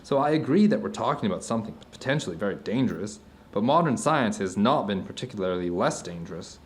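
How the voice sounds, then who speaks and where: A man's voice: lowish voice, expressive, fast talker, natural voice. A man playing a thoughtful, philosophical friend in an audio drama, speaking in an articulate, searching, discursive way. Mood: grave, unsettled. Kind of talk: conversational audio drama acting.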